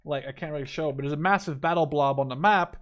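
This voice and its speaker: A man's voice: a little high, quick pace, fairly bright tone. A man in his twenties giving casual gameplay commentary, thinking aloud informally as he plays. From Netherlands. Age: 20s. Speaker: gaming video host.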